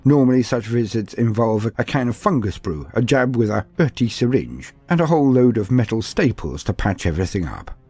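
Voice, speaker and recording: A man's voice: medium pitch, very animated, fairly quick, hardly any pauses, a little mellow, slightly rough, faint music behind. A man delivering dramatic scripted narration of fantasy lore with a theatrical storytelling manner.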